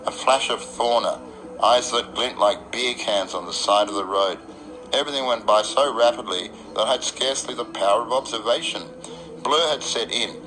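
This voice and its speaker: A man's voice: mid-range voice, moderate pace, rough recording. An Australian man performing a dramatic first-person radio narration in a wry, literary beat-poet storytelling manner. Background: electric guitar music.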